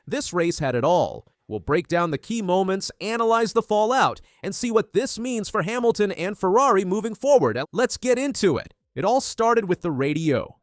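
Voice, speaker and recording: Male narrator, higher-pitched and very animated, for dramatic, polished sports news scripts recorded dry. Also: dry recording. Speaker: narrator of motorsport news videos.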